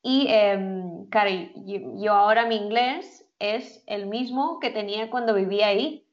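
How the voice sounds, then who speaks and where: A woman's voice: mid-range voice, measured pace. Brief: woman co-host, chatty, frequent laughs, dull lo-fi recording. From Philippines. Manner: chatty, laughs often, many fillers. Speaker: podcast co-host. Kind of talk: casual chat.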